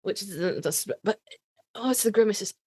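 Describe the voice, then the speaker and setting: A woman chats as a podcast co-host in a close, dry recording. Her pitch sits mid-range, and she talks casually, with plenty of swearing and self-mocking fan enthusiasm. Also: normal speed, a little mellow, husky, no echo.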